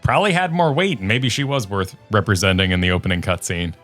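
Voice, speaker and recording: A man's voice: lowish voice, fast talker, a bit bright. A man co-hosting a gaming reaction show, commenting in a casual, conversational style. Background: music behind the voice.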